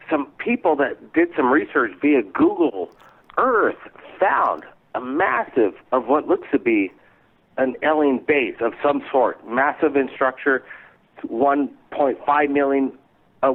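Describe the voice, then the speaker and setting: A male radio host asks long, rambling questions, his voice swooping up and down with excitement. The sound is thin and tinny, like a phone call. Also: mid-range voice. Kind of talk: radio interview.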